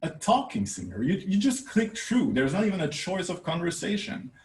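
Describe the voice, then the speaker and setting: A man's voice: high-pitched, huge pitch swings, brisk, darkish tone. A male researcher presenting a conference paper in a hesitant, wryly emphatic way, recorded in a roomy space away from the microphone. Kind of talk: conference talk. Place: indoor room, recorded for a virtual conference. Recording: roomy echo, distant microphone.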